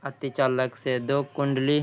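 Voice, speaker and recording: Even-toned male tutor with a clear voice. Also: medium pitch, faint music behind. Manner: halting, repeats phrases and answers. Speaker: exam-prep tutor. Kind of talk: quiz lesson, reading questions and answers aloud.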